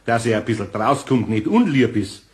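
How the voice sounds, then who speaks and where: A man's voice: medium pitch, big pitch swings, moderate pace, close to an everyday voice. A man with a Bavarian accent playing a good-natured, grumbling older craftsman in a children's audio play, homely and fatherly. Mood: resigned. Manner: homely, muttering, soothing.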